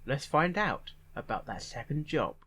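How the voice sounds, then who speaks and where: A man giving casual reaction commentary in a halting way, repeating phrases and musing aloud in questions. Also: on the high side, big pitch swings, fairly bright tone, husky. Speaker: reaction video host.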